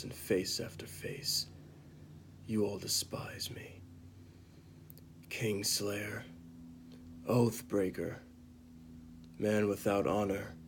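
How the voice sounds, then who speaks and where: A man playing a bitter disgraced knight confessing to killing a mad king, in a halting, dramatic amateur monologue that drops into whispers. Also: fairly low pitch, creaky, slight background noise. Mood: bitter, brooding.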